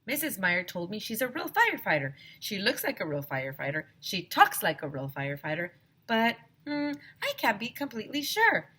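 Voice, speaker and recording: A woman's voice: medium pitch, wildly swooping pitch, normal speed, bright, forceful tone, breathy edge, pitched above the performer's own voice, full character voice. A woman reading a puzzled, mild-mannered male school principal from a storybook, musing hesitantly.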